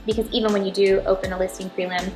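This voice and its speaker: A woman's voice: medium pitch, level tone, very fast. A middle-aged American woman giving casual, chatty explanations as an interview guest, often checking in with "right?". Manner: chatty, informal, peppers talk with "right?" and "you know". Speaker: interview guest, title insurance representative. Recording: roomy echo, music behind the voice.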